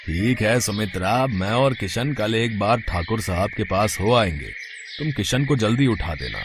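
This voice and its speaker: A man's voice: on the low side, animated, moderate pace, noisy background. A man voicing a tired, defeated older father in a village moral story, speaking in a resigned way.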